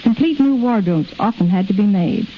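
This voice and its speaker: A woman's voice: mid-range voice, expressive, normal speed. A middle-aged woman reading scripted answers as a guest in a 1930s radio interview. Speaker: film editor, interview guest. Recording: muffled, hissy 1930s radio recording.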